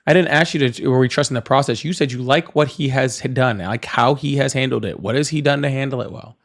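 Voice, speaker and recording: A man's voice: mid-range voice, fast. A man hosting a live call-in political debate in a casual style, reading out viewer messages, sarcastic and dismissive with callers.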